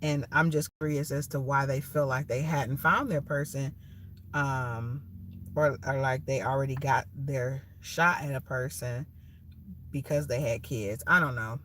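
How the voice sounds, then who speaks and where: A woman's voice: rumbling low, fairly even intonation, a little slow, fairly bright tone, slight background noise. Nasal woman recovering from a cold. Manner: rambling, many ums and restarts. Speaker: lifestyle vlogger. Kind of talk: casual live vlog monologue. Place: at a food place, waiting for food.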